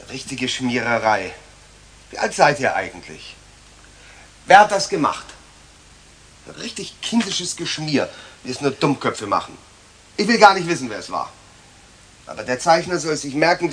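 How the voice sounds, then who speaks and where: High-pitched, scolding male schoolteacher. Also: very animated, normal speed, pauses often, a bit bright, slight background noise. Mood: scornful. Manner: scolding, sarcastic. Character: stern, scornful male schoolteacher, irritated and strict. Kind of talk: 1970s children's radio play acting.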